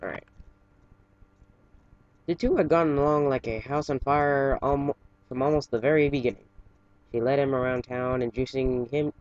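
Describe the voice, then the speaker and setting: A man casually reading fan fiction aloud in a halting way, stumbling and correcting himself, with chatty asides. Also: medium pitch, a little mellow, dry recording, dull audio.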